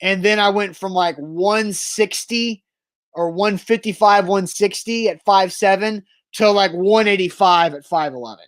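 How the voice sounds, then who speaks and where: A man's voice: high voice, unhurried, no room sound. Dry, matter-of-fact male co-host. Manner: matter-of-fact, teasing, pedantic. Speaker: sports radio co-host. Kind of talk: casual sports talk banter.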